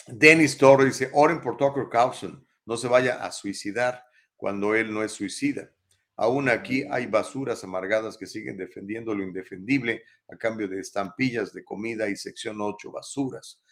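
A man's voice: lowish voice, a bit bright. A middle-aged Mexican man hosting a live talk show, casually reading viewer comments aloud and answering them. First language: Spanish.

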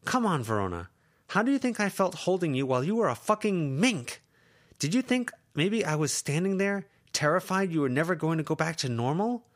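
A man's voice: mid-range voice, very animated, normal speed, voice pushed up, lightly acted. A man voicing an anxious, protective teenage girl who lectures her friends intensely in an audiobook. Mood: upset, raised. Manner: intense, lecturing, rising to shouts. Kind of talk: audiobook character reading.

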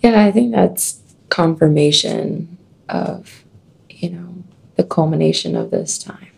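A woman with a scratchy, deep voice, chatting casually and slowly reading an oracle card aloud. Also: vocal fry, slightly rough. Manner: slow, dreamy reading with soft laughs.

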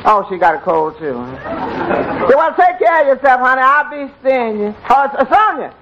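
High-voiced, lovesick, hapless waiter. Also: male voice, big pitch swings, some chatter behind, heavily acted. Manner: slow, sheepish, chuckling, folksy. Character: lovesick, good-natured waiter, hapless young man. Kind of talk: 1940s radio comedy acting. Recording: muffled, noisy 1940s radio, loud studio audience.

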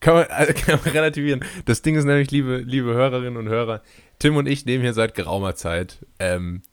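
Deadpan young man joking dryly. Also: mid-range voice, brisk, clean tone, dry recording. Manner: dry, deadpan joking with playful asides. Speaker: podcast co-host. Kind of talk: casual comedic chat. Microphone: remote call recording.

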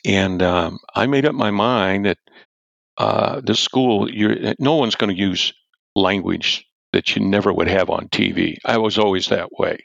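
An older man, a former football coach, telling a story loudly and animatedly as an interview guest and chuckling as he goes. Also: lowish voice, measured pace, vocal fry, no room sound. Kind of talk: storytelling in a podcast-style interview. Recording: dull, dry lo-fi audio.

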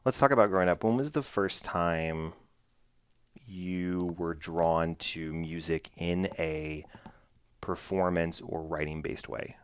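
Deep-voiced man in his thirties hosting. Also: takes it slowly, clean tone, no room sound. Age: 30s. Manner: easygoing, laughs along, affirms often. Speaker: podcast host. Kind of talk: casual interview chat.

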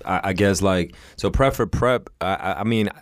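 A man's voice: low-pitched, moderate pace, dry recording. A man hosting a podcast, laid-back and slangy, who often calls people "man". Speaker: podcast host. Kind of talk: casual podcast conversation.

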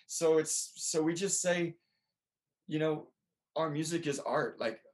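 A man talks as a guest in a relaxed interview. He speaks earnestly and with animation. He often restarts his sentences as he works through his thoughts. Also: on the high side, slow pace. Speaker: band member guest. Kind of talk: casual interview chat.

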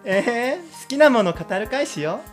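Man acting as girlish gal, slangy, eager talker, lively swooping intonation, amateur radio drama, music behind. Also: very high voice, unhurried. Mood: chatty, eager. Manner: casual slangy gal talk. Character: chatty girlish young gal heroine, eager to talk.

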